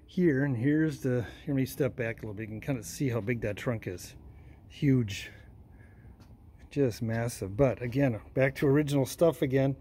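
A mellow, plain-spoken man films a car walkaround on his handheld phone, talking at an easy pace with frequent ums and uhs. His voice often trails off quietly, and faint ambient noise sits behind him. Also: mid-range voice. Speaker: vintage car restoration vlogger. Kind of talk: casual walkaround narration behind a handheld camera. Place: old car in a garage and driveway. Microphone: phone camera held in hand.

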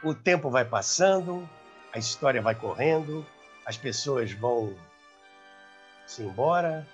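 A man's voice: medium pitch, expressive, unhurried, muffled recording, faint music behind. A man in his seventies with a Brazilian accent, hosting with theatrical, emphatic storytelling to camera. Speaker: host and storyteller. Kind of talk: animated anecdotal storytelling to camera. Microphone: clip-on lapel mic.

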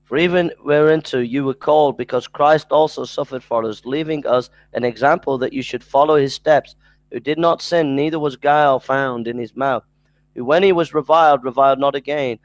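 A man's voice: medium pitch, moderate pace, dry recording, poor audio. A middle-aged man giving an earnest Bible lecture from a small room at home, speaking into a headset mic and often repeating himself. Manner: earnest, repeats scripture references, stumbles and restarts.

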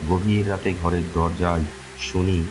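A man telling a scripted horror story as a dramatic narrator, with measured, suspenseful delivery. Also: deep voice, little pitch movement, noisy background. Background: music.

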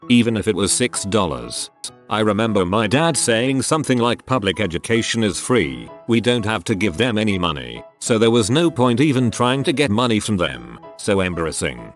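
A man reads forum posts aloud as a narrator, in a low voice with lively, varied intonation. His delivery is steady and scripted. Soft music plays faintly beneath him.

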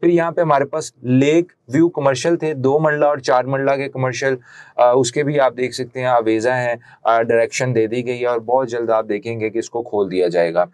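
A man's voice: mid-range voice, steady tone, normal speed, a little mellow, no room sound. A man in his thirties with a Pakistani accent presents a promotional real estate video as a consultant, explaining things like a salesman into a lapel mic.

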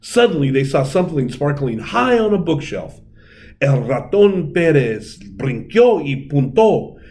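A middle-aged man reading a children's story aloud in an expressive, deliberate way. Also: on the high side. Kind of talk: storybook read-aloud, bilingual. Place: indoor room, seated at a table.

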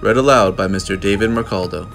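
A man reading a children's picture book aloud in an expressive way, with deliberate, dramatic phrasing. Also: fairly low pitch, gravelly. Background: music.

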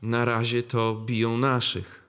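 A man playing a naive, slow-witted peasant lad in an audio drama, rustic and puzzled. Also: mid-range voice, monotone, a bit bright, smooth. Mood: glum. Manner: rustic, plain-spoken, puzzled.

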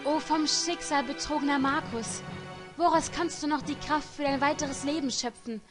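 A woman's voice: high-pitched, steady tone, moderate pace, lightly acted. A woman playing a tragic, declamatory stage comrade in an amateur audio drama. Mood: lamenting. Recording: loud music over the voice.

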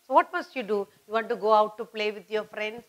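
A woman's voice: medium pitch, fairly quick, a bit bright, dull audio. A middle-aged woman with an Indian accent teaching children, repeating and stressing key words in a didactic way. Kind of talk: children's lesson at a whiteboard. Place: indoor studio in front of a whiteboard.

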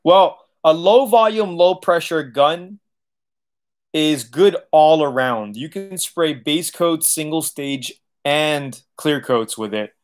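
Late-30s male host, loose and chatty, laughs easily, a touch high-pitched; home webcam livestream. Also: takes it slowly. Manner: loose, chatty, laughs often, swears casually. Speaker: auto body channel host. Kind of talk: casual livestream Q&A reading chat. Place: living room, seated on leather couch.

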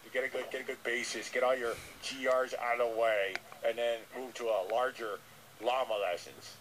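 A man's voice: medium pitch, fairly even intonation, normal speed, fairly bright tone, voice pushed down, natural voice. A man voicing a nervous, earnest, dim-witted llama who hesitates and repeats himself on a comic radio call. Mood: apologetic, rambling. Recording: noisy re-recording of radio playback.

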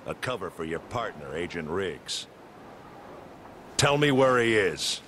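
Game villain: menacing commander, low register, measured and taunting, clear background noise. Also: male voice, sing-song, a bit bright, heavily acted. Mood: cold menace. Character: cold menacing military commander interrogating a prisoner. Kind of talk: dramatic video game acting.

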